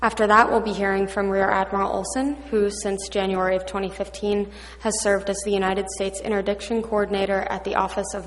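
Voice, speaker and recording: A woman moderating a conference panel, reading formal introductions of the speakers with light asides. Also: medium pitch. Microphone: microphone. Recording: hall with long reverb.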